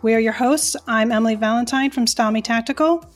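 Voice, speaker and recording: A woman's voice: on the high side, fast talker, soft background music. Chatty upbeat woman podcast host. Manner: chatty, upbeat, lots of fillers. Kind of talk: casual chat. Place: trade show booth.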